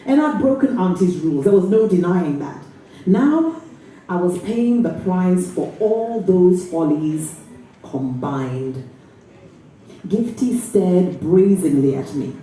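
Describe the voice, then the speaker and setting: A woman actor giving an expressive, deliberate dramatic reading from a novel. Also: on the low side, recorded from a distance. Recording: very echoey room, faint noise.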